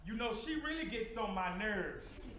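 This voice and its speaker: A man's voice: high voice, animated, measured pace, crowd noise. A young man on stage playing a sassy, gossipy hotel front desk worker with catty comedic delivery. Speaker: stage actor. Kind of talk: comedic stage play acting. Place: theater stage, indoors. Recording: echoey theater from far off, faint noise.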